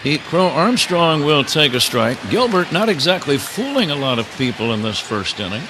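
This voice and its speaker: A man's voice: fairly high pitch, moderate pace. A man calling baseball play-by-play live on the radio as the announcer. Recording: crowd roar and steady stadium noise. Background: ballpark crowd.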